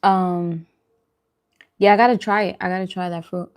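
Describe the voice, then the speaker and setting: Woman, slightly low voice, casual mukbang host, talking between bites, murmured reactions. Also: steady tone, moderate pace, clean tone. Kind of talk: casual eating chat to viewers.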